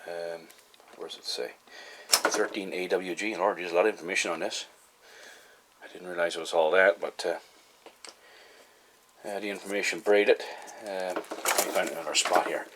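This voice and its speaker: Male hobby vlogger, deep voice, folksy and halting delivery, slightly noisy recording with clicks. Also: steady tone. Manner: halting, folksy, thinking aloud. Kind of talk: casual explanatory vlog.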